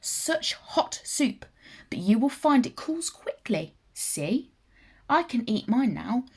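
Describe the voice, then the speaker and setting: A greedy, scheming male fox from a children's storybook, acted out by a woman. He savours his words with mock politeness, and the voice has a slightly husky, creaky edge. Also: on the high side, sing-song, slow pace, darkish tone, voice pushed up, full character voice. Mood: mock-polite. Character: sly, greedy, self-satisfied male fox. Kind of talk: children's fable character voice.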